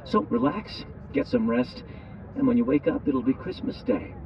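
An actor playing a reassuring airline pilot making a cabin announcement in an audio drama. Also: male voice, medium pitch, expressive, moderate pace, lightly acted. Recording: dull intercom-like sound, faint cabin noise.

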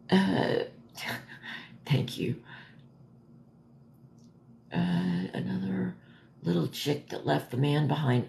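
A hoarse woman talks casually to her livestream viewers, stopping and starting as she explains. Her voice sits a little low and crackles with fry. The phone is a step away in a roomy, echoing home space. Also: a little mellow. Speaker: livestream host. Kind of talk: casual livestream chat answering viewer comments. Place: dim living room at home, indoors. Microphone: phone propped a step or two away. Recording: echoey room, phone at a distance.

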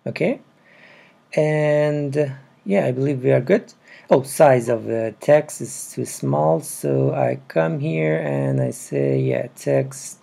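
Man, non-native English accent, heavy vocal fry, muted dark tone, halting tutorial narration. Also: mid-range voice. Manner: halting, broken phrasing. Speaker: programming tutorial presenter. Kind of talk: casual step-by-step screencast narration. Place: indoors at a computer.

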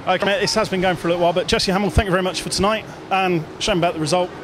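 A man's voice: high voice, steady tone, racing. A man interviewing someone after a game for a fan channel, asking casual questions into a handheld microphone. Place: indoor sports hall. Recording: noisy venue with background ambience.

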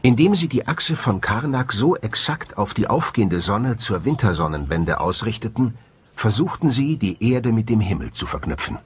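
A man delivering scripted documentary narration in a measured, dramatic way, as the presenter of the film. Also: lowish voice, big pitch swings, slight background noise.

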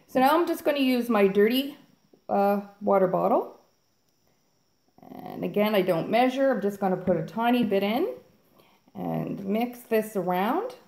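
A woman's voice: mid-range voice, expressive, a little slow, creaky, long pauses, a little mellow. Chatty woman in an echoey studio. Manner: chatty, upbeat, addresses viewers as guys. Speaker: fluid art channel host. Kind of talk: casual tutorial chat while painting. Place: home art studio, indoors.

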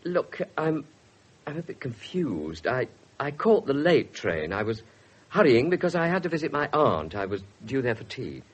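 A jittery, defensive man under questioning in a seventies radio play. His voice is husky and swings about in pitch as he hesitates. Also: sing-song, moderate pace. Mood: nervous, evasive. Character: nervous, evasive man questioned by police. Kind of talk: 1970s radio drama acting.

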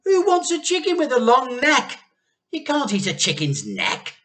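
A man's voice: very high-pitched, wildly swooping pitch, moderate pace, bright, forceful tone, voice pushed up, exaggerated voice. A man voicing a sceptical, nagging farmer's wife in a storybook, scolding and doubtful. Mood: scornful, doubtful.

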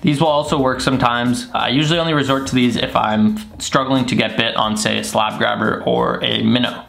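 A young man in his twenties casually explaining gear to the camera from a small bedroom, relaxed, with frequent fillers. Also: mid-range voice, gravelly. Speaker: fishing channel host. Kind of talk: casual tutorial vlog.

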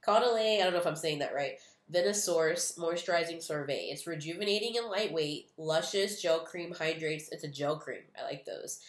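A woman's voice: deep voice, normal speed, hardly any pauses, roomy sound. A young woman in her twenties with a stuffy, nasal voice from a cold, chatting casually and rambling through a beauty box unboxing, recorded on a webcam in a small room. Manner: chatty, rambling, many "um" and "like" fillers. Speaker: beauty vlogger.

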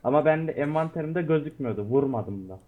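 A man's voice: on the high side, lively intonation, quick pace, narrow, tinny audio. A man in casual game voice chat, speaking defensively as a player protesting his case. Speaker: player in online roleplay game.

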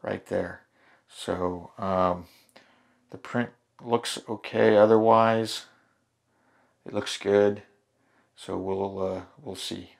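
A man hosting a hands-on darkroom printing tutorial, talking through each step in a relaxed way with fillers and restarts. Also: sits low, very slow, gravelly, pauses often. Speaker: photography channel host. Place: home darkroom in a laundry room.

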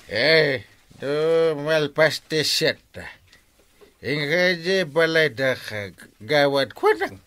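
A man's voice: a little high, sing-song, takes it slowly, pushed and bright, heavily acted. A man playing a meddling, blustering uncle with an Ilocano accent and a stammering, exaggerated delivery in a comedy radio drama. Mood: blustering, coaxing. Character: meddling, blustering uncle giving bad courting advice.

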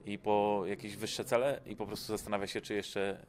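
A man's voice: lowish voice, flat delivery, moderate pace. A man interviewing a boxer, asking informal questions with fillers. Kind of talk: casual sports interview questions.

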